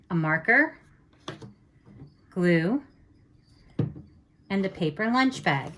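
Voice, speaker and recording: Female teacher, instructional read, slow and deliberate, step-by-step, animated pitch movement. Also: a little hiss or hum. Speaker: teacher presenting a writing activity. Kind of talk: instructional lesson.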